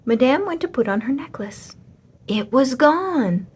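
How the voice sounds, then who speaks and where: Woman, children's read-aloud narration, expressive, very animated, husky edge. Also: high-pitched, unhurried. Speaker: storyteller.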